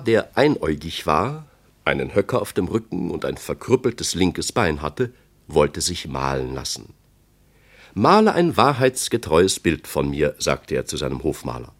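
Very deep, animated male storyteller. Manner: storyteller, acting out quoted speech. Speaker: narrator of a radio play. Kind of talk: scripted literary narration.

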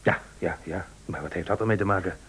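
An actor playing a sceptical, good-natured old friend in a Dutch radio play, hesitant and muttering. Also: male voice, low-pitched, fast talker, fairly bright tone, breathy edge, full character voice. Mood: puzzled, grudging.

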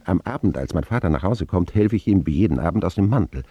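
A man's voice: deep voice, lively intonation, fast talker, warm tone, a little hiss or hum. An actor playing a man wryly recounting his boyhood in vivid first-person radio drama narration.

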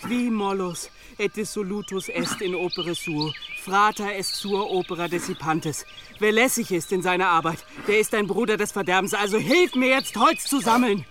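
An actor playing a bossy, pragmatic young monk with an impatient, commanding manner in a German radio drama. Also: male voice, sits high, normal speed, over music, natural voice. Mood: strained, commanding.

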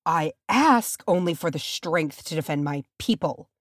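A woman's voice: on the low side, sing-song, unhurried, breathy edge, no room sound, studio quality, exaggerated voice. A woman voicing a proud male warrior in a fantasy story, bitter and menacing, with a snarling, hissing delivery. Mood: bitter pleading.

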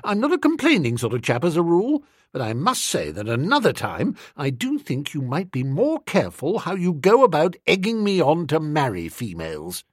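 A male reader plays a young English toff, foppish and breezy, in a comic storybook. His posh British voice rides high and leaps about with blustering emphasis, close and dry. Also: wildly swooping pitch, normal speed, few pauses, dry recording. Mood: reproachful. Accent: British upper-class.

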